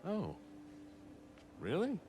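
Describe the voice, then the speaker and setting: Older businessman dad, hearty and effusive, bright swooping delivery, unhurried. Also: wildly swooping pitch, soft background music, heavily acted. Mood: wistful. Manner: hearty, booming greetings with laughter. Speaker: father and company head. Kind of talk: video game cutscene acting.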